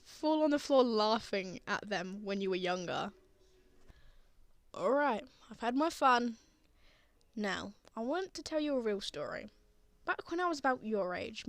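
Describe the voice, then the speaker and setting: A woman playing a gentle, reassuring father who comforts a frightened child in a tender ASMR roleplay. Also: fairly high pitch, no echo. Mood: playful, teasing. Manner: soothing, tender, earnest.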